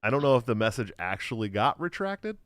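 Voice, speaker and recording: A man's voice: medium pitch, sing-song, fairly quick, no echo, clean studio sound. A man hosting a livestream, reading donations in a laid-back, profane way. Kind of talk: casual livestream chat.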